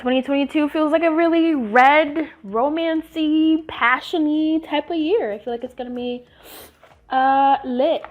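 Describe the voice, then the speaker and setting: A chatty woman with a somewhat high, sniffly voice talks through a tutorial, rambling and restarting her sentences. Her voice comes through a tinny, phone-like line, and soft music plays underneath. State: sniffly, congested voice. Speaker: channel host. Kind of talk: casual tutorial chat.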